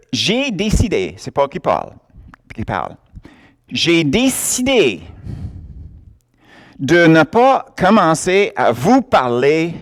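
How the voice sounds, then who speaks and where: A man preaching a sermon, emphatic and often laughing as he acts out little exchanges. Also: fairly high pitch.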